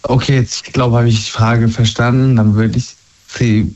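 A man's voice: medium pitch, level tone, moderate pace, a little mellow. A man in his twenties, born and raised in Germany, talking candidly as a guest and hesitating often with fillers and restarts. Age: 20s. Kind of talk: candid personal conversation. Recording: dull lo-fi sound.

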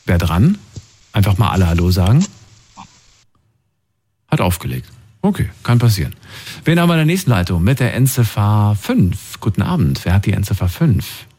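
A man with a fairly low voice hosts a live call-in show. He talks informally and conversationally, putting questions to callers and addressing listeners directly. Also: sing-song, normal speed, pauses often, a little mellow, husky. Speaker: radio call-in show host. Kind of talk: casual live talk with callers.

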